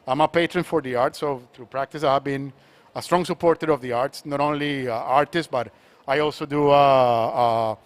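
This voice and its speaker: Halting Colombian-born man collector. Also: medium pitch, steady tone. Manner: halting, repeats words often, many restarts. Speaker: art collector. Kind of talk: panel conversation.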